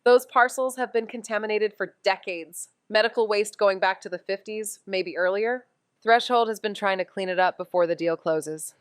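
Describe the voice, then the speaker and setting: A woman's voice: mid-range voice, normal speed, clean tone, no echo, studio quality, close to an everyday voice. A woman playing an earnest amateur investigator in an audio drama, with a casual, thinking-aloud way of talking. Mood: serious, urgent. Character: Amy, eager young woman amateur investigator who narrates. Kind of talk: audio drama dialogue and first-person narration.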